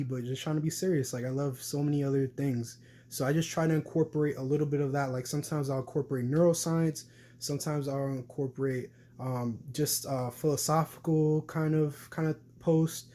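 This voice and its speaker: A man's voice: medium pitch, dark tone. Young New man, rambling vlog. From New York City. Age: young adult. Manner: rambling, lots of fillers and restarts. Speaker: vlogger. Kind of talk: casual talk to camera.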